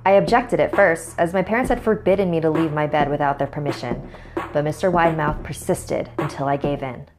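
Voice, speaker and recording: A woman's voice: deep voice, brisk, over music. A woman narrator reading a scripted horror story in the first person.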